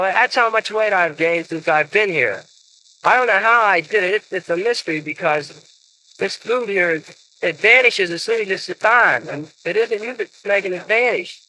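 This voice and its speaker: A young American man speaking a halting, informal audio letter, like a soldier writing home, on a 1950 paper record. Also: high-pitched, rough recording. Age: young adult. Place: servicemen's club recording booth. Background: loud record surface noise.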